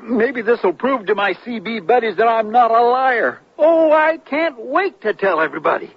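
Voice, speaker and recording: An actor playing an excitable, boastful CB radio yarn-spinner with a stammering, folksy, eager manner in a 1970s radio drama. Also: male voice, very high voice, moderate pace, full character voice. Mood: excited, bragging. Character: excitable, boastful male CB radio tall-tale teller. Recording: dull, noisy 1970s radio recording.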